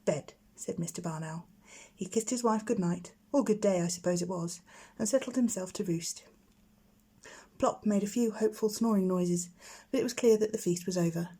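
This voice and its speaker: Slightly husky woman reading a bedtime story. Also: medium pitch, moderate pace, dark tone. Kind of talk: expressive storybook narration for children.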